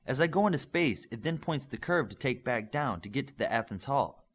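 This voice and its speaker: A man reading as an eager young superhero student, animated and husky. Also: medium pitch, a little fast. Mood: enthusiastic, explaining. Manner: earnest, keen explaining.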